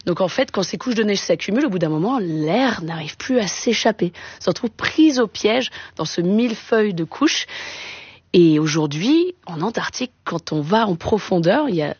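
Woman science expert, slightly husky, enthusiastic, plain explanations, dry close studio sound. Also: mid-range voice, animated, moderate pace, darkish tone, no room sound, highs cut off. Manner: enthusiastic, simple conversational explaining. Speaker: scientist guest expert on polar ice. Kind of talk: explanatory radio interview.

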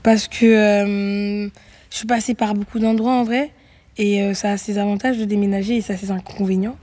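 Young woman guest, husky voice, reflective and hesitant, at a studio mic. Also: mid-range voice, level tone, normal speed, dark tone. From Greece. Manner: thoughtful, many hesitations and restarts. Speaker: guest musician. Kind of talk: reflective radio interview answers.

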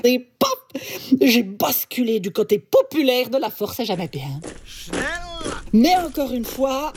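A woman voicing a chatty, boastful female saxophone in a cartoon, animated and full of exclamations. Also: a little high, measured pace, slight background noise. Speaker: talking instrument telling her history. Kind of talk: cartoon voice acting. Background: background music.

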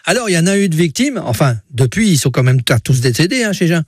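Husky man joking. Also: fairly high pitch, sing-song, fast, no echo, clean studio sound. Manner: mocking, folksy, teasing. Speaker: radio humor columnist. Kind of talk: joking regional chronicle.

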